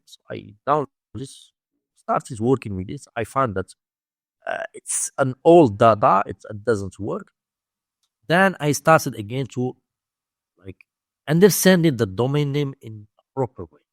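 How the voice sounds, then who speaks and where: A man's voice: mid-range voice, no room sound. Moroccan-accented man in a dry-sounding interview. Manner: halting, repetitive non-native English phrasing. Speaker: interview guest, domain investing teacher. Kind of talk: conversational interview storytelling.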